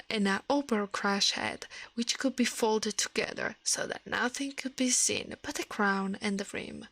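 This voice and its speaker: A woman with an Italian accent speaking in a soft, breathy ASMR style that often slips toward a whisper. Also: mid-range voice, no room sound. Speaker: ASMR livestreamer. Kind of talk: ASMR, soft storybook reading and chat with viewers.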